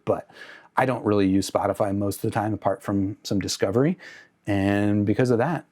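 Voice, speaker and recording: A man's voice: fairly low pitch, a little mellow. A man hosting a tech review channel, talking through products in a conversational, opinionated way. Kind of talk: casual product review to camera.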